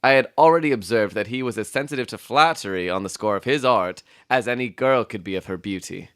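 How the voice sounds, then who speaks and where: A man playing an earnest, puzzled doctor who admires his detective friend, in an expressive dramatic audiobook reading. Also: fairly low pitch, fairly quick, smooth, no room sound, studio quality. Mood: admiring. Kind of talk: dramatic audiobook reading with first-person narration.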